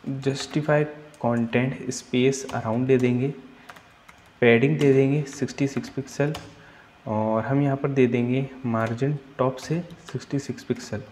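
A man's voice: medium pitch, a little hiss or hum. A young man teaching web coding over a screen recording, walking through each step and thinking aloud as he types. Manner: steady step-by-step explaining, thinks aloud while typing. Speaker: coding tutor.

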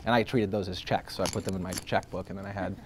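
A man's voice: low-pitched, fairly even intonation, fast talker, a little hiss or hum. A man in his thirties, born in Greece, talking casually in an interview with lots of fillers, restarts and laughs. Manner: rambling, many fillers and restarts, laughs often.

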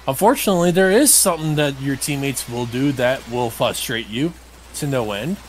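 Man, medium pitch, casual gameplay commentary, blunt, grumbling, conversational. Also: expressive, normal speed, a little hiss or hum. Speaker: gamer playing and commentating.

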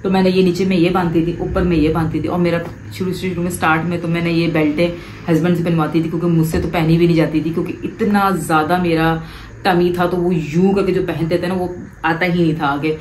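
Woman, thirties, lowish voice, echoey living room, direct coaching. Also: quick pace, a little hiss or hum. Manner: animated, gestures a lot with both hands; direct, coaxing advice to the viewer. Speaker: vlogger. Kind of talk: casual advice vlog. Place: living room, seated on a sofa.

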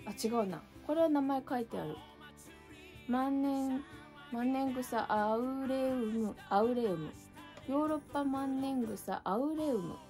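A woman's voice: high-pitched, measured pace, warm tone, faint music behind. A middle-aged woman chatting casually as she shows plants to the camera in her home entryway. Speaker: succulent channel vlogger. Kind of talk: casual chat. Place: indoor entryway with a shelf.

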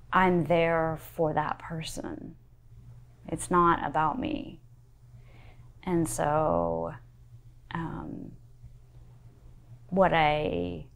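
A woman in her forties, a pastor, answering interview questions in a thoughtful, measured way, recorded with a lapel mic in a wood-panelled study. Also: fairly low pitch. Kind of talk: sit-down interview.

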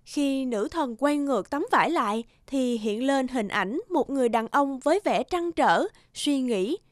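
A woman's voice: high-pitched, animated, a little slow, no echo, clean studio sound. A woman reading scripted storybook narration as the narrator of a tale.

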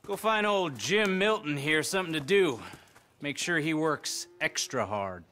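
Forceful rural American foreman. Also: on the high side, lively intonation, unhurried. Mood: bossy. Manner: commanding, plain-spoken. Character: brisk, bossy male ranch foreman. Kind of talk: video game cutscene acting.

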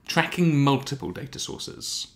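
A man in his thirties giving a scripted explainer, step by step, with deliberate emphasis. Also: medium pitch, big pitch swings, vocal fry. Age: 30s. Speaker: presenter of a software tutorial. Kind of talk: scripted explainer narration to camera.

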